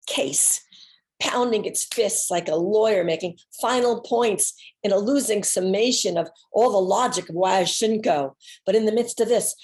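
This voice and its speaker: An American woman giving a dramatic, autobiographical one-woman reading, theatrical and deliberate with dramatic pauses. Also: mid-range voice, creaky, darkish tone. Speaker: solo performer, storyteller.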